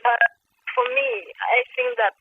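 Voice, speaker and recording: A woman's voice: high-pitched, big pitch swings, slow, gravelly, husky. Ugandan woman activist on a tinny phone line. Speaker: activist guest speaker. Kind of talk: earnest advocacy talk on a conference call. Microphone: telephone.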